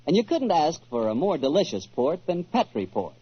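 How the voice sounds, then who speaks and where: An American man announcing in 1940s radio style, a polished, folksy pitchman with a husky voice. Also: sing-song, moderate pace. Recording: muffled old radio recording.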